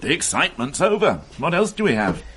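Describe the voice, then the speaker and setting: A man's voice: on the high side, sing-song, fairly quick, noisy background. An actor playing an impatient, sardonic male detective inspector in a radio drama, with a dry, cutting way of talking. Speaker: police detective.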